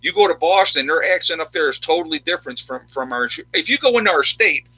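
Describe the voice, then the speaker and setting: A man's voice: on the high side, animated, quick pace, pushed and bright, breathy edge, no echo, low-quality recording. A man in his fifties from upstate New York, talking bluntly and emphatically in a casual chat with viewers. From New York State, USA. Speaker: channel host, army veteran.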